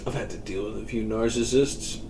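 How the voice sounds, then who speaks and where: A man's voice: fairly low pitch, fairly even intonation, fairly quick, a little mellow, a little hiss or hum, close to an everyday voice. Man reading as a weary, muttering young stoner. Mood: muttering, annoyed. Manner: casual, muttering, profane.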